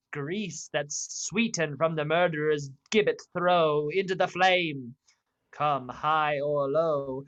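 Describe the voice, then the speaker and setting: A woman playing an eerie witch in a radio play of Shakespeare, chanting verse slowly. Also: deep voice, a bit bright, muffled recording. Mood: chanting, ominous. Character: eerie witch brewing a potion.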